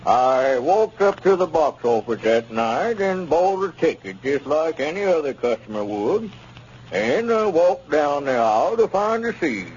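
Wheedling, self-pitying plaintiff, highish voice. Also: male voice, sing-song, unhurried, darkish tone. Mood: aggrieved, wheedling. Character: wheedling, self-pitying injured plaintiff, small-town squire. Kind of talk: 1930s radio comedy acting. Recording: muffled, noisy 1930s radio recording.

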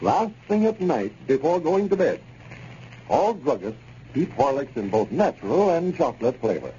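A man with an American accent announces in formal 1930s radio style. His tone is soft and rounded, his delivery measured. The recording is muffled with a faint hiss. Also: medium pitch, big pitch swings.

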